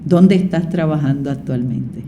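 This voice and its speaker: A woman's voice: sits low, moderate pace, background noise. A woman hosting a radio interview, talking in a conversational way with repetitions and fillers. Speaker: radio host.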